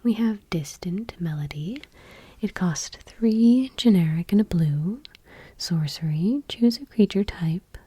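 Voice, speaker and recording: A woman softly reading aloud for ASMR in a breathy, whispery voice with a hushed, gentle delivery. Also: on the low side, lively intonation, takes it slowly. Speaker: ASMR video host.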